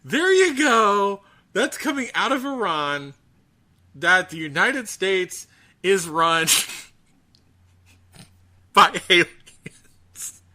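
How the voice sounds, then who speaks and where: Animated man with a high, bright voice. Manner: animated, incredulous, halting restarts. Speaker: podcast host. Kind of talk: casual comedic chat about a bizarre news story.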